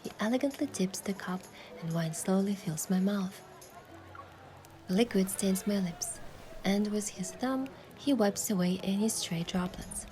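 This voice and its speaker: A female actor plays a young heroine telling her own love story in a romance game. Her mid-range voice is soft and lyrical, moving between playful and tender. Music sits behind her. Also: mid-range voice, unhurried, close to an everyday voice. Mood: dreamy. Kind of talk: scripted first-person narration and dialogue in romance game.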